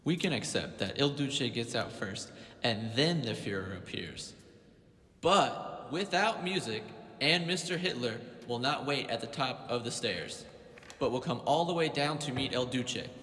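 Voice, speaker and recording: Pompous envoy in an echoey hall. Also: male voice, mid-range voice, expressive, a little slow, pushed and bright. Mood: insistent, demanding. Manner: pompous, insistent. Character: haughty indignant male Italian government envoy. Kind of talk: dubbed film dialogue.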